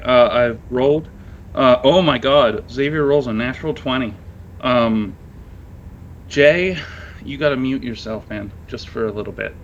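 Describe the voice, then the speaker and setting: A man's voice: mid-range voice, muffled recording, a little hiss or hum. A man running a tabletop role-playing game, improvising narration in a casual, conversational way and reacting to his players. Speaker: game master.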